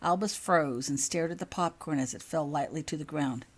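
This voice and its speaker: A woman narrating fiction in an expressive storybook style, reading as the author of the tale. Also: low voice, normal speed.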